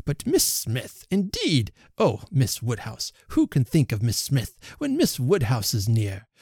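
A man's voice: mid-range voice, huge pitch swings, unhurried, vocal fry, a little mellow, breathy edge, no echo, studio quality, exaggerated voice. A man reading the part of a pompous young clergyman who protests his devotion and takes offence. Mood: effusive protest. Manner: effusive, then haughty.

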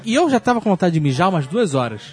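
A Brazilian man with a Brazilian Portuguese accent tells a casual comic anecdote as a podcast host, animated and full of slang and swearing. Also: sits high, fast.

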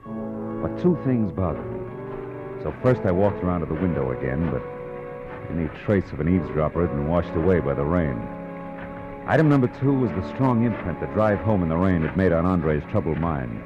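An actor playing a cool, hard-boiled private detective, terse and wry, in 1940s radio drama style. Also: male voice, sits low, very animated, normal speed. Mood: brooding, wry. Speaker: lead detective and narrator. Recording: old radio broadcast, muffled, music behind.

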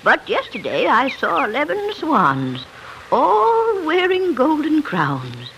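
A slow, swooping old woman. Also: high voice, huge pitch swings, exaggerated voice. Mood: mysterious. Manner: slow, storybook-like delivery. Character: kindly, mysterious old woman of the forest. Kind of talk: 1940s radio acting. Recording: noisy old 1940s radio recording.

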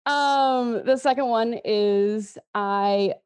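Laughing young Texan woman, mellow voice. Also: on the high side, slow, smooth. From Texas. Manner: lively, laughs often. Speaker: guest. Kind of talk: casual interview chat.

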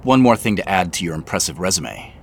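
An actor playing a wry, self-deprecating tech boss in an audio drama, conversational and playful yet guarded. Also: male voice, deep voice, sing-song, a little fast, creaky, exaggerated voice. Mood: playful, amused. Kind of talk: naturalistic audio drama acting.

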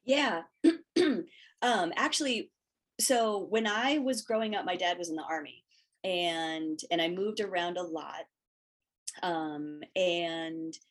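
A middle-aged woman, a woodworking educator born in Hawaii, answering interview questions in a reflective, halting way with many restarts. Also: fairly low pitch.

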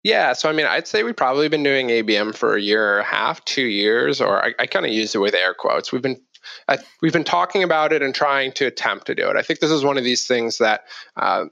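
A man's voice: medium pitch, lively intonation, brisk, gravelly, sharp tone, no room sound. Man in a casual interview, muffled audio. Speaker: podcast guest, marketing executive.

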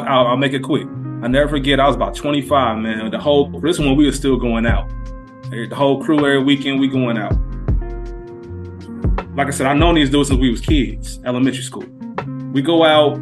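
A man co-hosting a podcast, telling a story in casual slang with lively energy. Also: mid-range voice. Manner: animated anecdote-telling with street slang. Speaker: podcast co-host.

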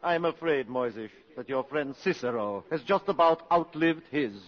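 An actor playing a cold, cynical colonel and spymaster, speaking deliberately and sardonically in a 1950s radio drama. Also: male voice, fairly high pitch, animated, close to an everyday voice. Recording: muffled 1950s radio broadcast.

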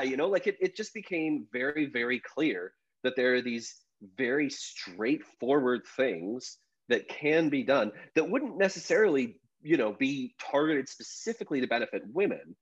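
A man's voice: medium pitch, highs cut off. A man, a journalist, talking casually about his story in a rambling, self-deprecating way with lots of fillers. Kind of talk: casual podcast conversation.

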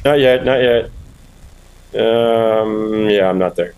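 A man with a Pennsylvania Dutch accent, a bar owner chatting as a guest. He talks in an easygoing, halting way with plenty of yeahs. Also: on the low side, a little mellow, clear, resonant tone, low-quality recording. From Pennsylvania Dutch country. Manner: laid-back, halting, many yeahs. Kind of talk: casual podcast chat.

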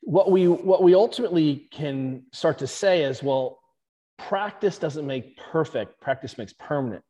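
Coach with a long reverb behind him. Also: male voice, fairly high pitch, dark tone. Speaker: strength and conditioning coach. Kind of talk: explaining in an interview.

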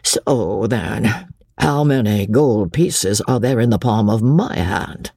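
A man voicing a suave, calculating male official in an audiobook, quietly commanding and impatient, with menace underneath. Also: lowish voice, lively intonation, takes it slowly, creaky, husky, no echo, clean studio sound, heavily acted. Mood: suave probing. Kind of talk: audiobook character voice.

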